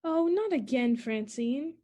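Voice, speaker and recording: A woman playing a headstrong, unafraid young woman, brisk and self-assured, in an old-time radio play. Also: high-pitched, animated, dark tone, heavily acted. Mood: exasperated. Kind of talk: 1940s radio play acting.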